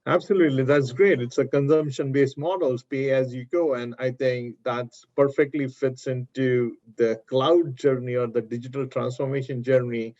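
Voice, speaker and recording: A man's voice: mid-range voice, fairly even intonation, moderate pace, hardly any pauses, a little mellow. A man hosting a business webinar interview, agreeable and hesitant, with many fillers, heard through dull lo-fi audio. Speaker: webinar host.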